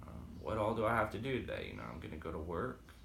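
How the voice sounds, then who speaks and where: A man's voice: sits low, very animated, fast talker, creaky, a bit bright, reverberant, a little hiss or hum. A man with a slight Boston accent talking casually and rambling with many fillers in a motivational vlog. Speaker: vlogger. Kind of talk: casual motivational talk to camera.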